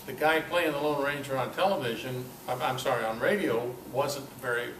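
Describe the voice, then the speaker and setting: An older man giving an informal, halting talk to a club, with a self-deprecating, dryly humorous manner. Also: mid-range voice, a bit bright. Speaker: guest speaker at a club meeting. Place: club meeting room, indoors. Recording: roomy, distant mic, noisy amateur recording.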